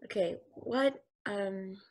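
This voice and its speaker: A woman reading the part of an anxious, inquisitive space probe in a play, halting and questioning, over a video call. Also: medium pitch. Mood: puzzled, curious. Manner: halting, questioning, increasingly urgent. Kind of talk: table read of a stage play. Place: home room, over a group video call.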